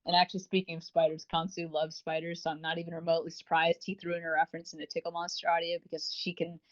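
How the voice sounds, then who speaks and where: Low-pitched woman, muffled lo-fi sound. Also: completely flat delivery, quick pace. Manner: effusive, informal. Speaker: podcast co-host. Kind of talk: casual chat reviewing audio dramas.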